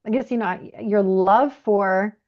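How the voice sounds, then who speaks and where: A woman's voice: medium pitch, slow pace. Woman podcast host, slightly muffled sound. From Canada, moved there from Bulgaria aged three. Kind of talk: warm conversational podcast interview.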